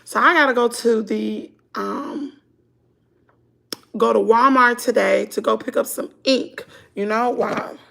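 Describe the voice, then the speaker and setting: Woman, Southern US accent, middle-aged, hoarse, gravelly, high voice, chatty vlog at home. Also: a little slow, pushed and bright. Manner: chatty, laughing, drawling, talks to "y'all". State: hoarse, losing her voice. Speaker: vlogger on a morning livestream. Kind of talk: casual chat with viewers. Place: at home indoors.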